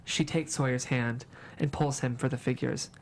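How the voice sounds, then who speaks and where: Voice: medium pitch, fairly even intonation, moderate pace, a little hiss or hum. A narrator reading screenplay stage directions at a table read in a dramatic storytelling manner.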